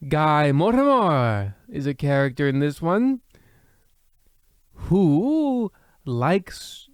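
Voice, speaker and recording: A man's voice: high-pitched, a little mellow, no echo, clean studio sound, heavily acted. A man playing a theatrical, rambling host of a spooky old-time radio show, hammy and insincere.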